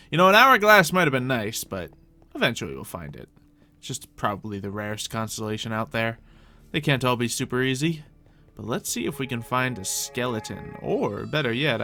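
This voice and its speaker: A man with a medium-pitched voice hosts an improvised video game roleplay. He chats casually to himself, thinking aloud as he plays. Soft music runs behind his voice. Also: gravelly. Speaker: gaming video host playing in character.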